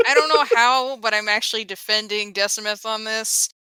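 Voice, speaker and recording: A woman's voice: on the high side, fairly even intonation, moderate pace, sharp tone, smooth, dry recording, rough recording. A woman playing a tabletop role-playing game, bantering casually in and out of character.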